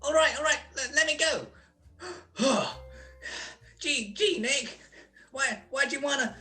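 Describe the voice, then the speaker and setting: A woman's voice: sits high, slow pace, sharp tone, soft background music, full character voice. A woman playing a nervous male stool pigeon in a radio crime drama, stammering, slangy and pleading. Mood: frightened, pleading. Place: recorded at home over a video call.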